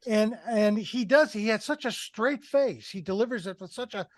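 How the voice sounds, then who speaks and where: A man's voice: sits high, normal speed, dry recording. Older man analysing a film, dry sound. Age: 60s. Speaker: film review podcast panelist. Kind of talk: casual discussion, analysing a film. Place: indoors, on a video call. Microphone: headset.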